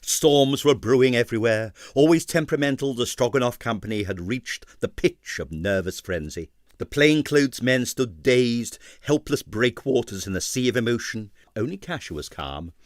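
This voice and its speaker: A man's voice: medium pitch, expressive, moderate pace, few pauses, no echo, clean studio sound. A man narrating a comic novel as a scripted, dramatic audiobook reading.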